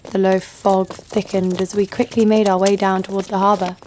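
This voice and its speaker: A woman's voice: medium pitch, little pitch movement, normal speed, darkish tone, a little hiss or hum, lightly acted. A woman playing a sardonic, vengeful bounty hunter who narrates her own story in an audio drama. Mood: wry, tense. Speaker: lead actor and narrator.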